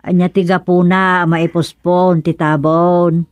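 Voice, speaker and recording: A woman's voice: on the low side, level tone, slow, dry recording. A woman acting in a radio drama comedy as an inquisitive, nagging village woman with an Ilocano accent. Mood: puzzled.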